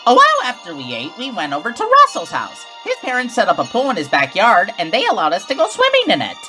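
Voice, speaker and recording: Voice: medium pitch, huge pitch swings, quick pace. A narrator reading a scripted children's comic read-along story aloud. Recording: music behind the voice. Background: music.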